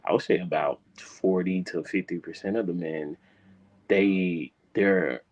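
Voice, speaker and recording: A man in his thirties, a panel guest speaking bluntly and crudely with stumbling restarts. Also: sits low. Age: 30s. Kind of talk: casual discussion. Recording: dull lo-fi call audio.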